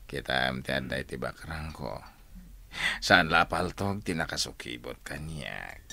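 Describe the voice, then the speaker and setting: Radio drama general: rock-bottom gravelly voice, unhurried, taunting, defiant. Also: male voice, extremely low pitch, expressive, pushed and bright, a little hiss or hum, exaggerated voice. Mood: menacing. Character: defiant menacing male general daring his armed foe. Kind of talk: Ilocano radio drama acting.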